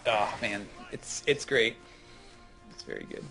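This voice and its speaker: A man with a fairly low voice co-hosts a gaming show. He talks casually and offers advice, with game music behind him. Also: measured pace, pushed and bright, rough recording.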